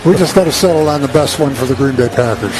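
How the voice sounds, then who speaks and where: A man giving conversational color commentary on a football radio broadcast, with wry, halting asides. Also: medium pitch, rough recording. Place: stadium broadcast booth.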